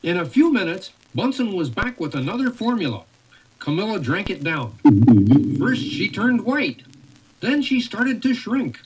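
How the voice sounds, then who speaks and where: A woman reading a children's storybook aloud with expressive, animated narration. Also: medium pitch, measured pace, soft background music, poor audio. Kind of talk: read-along story narration.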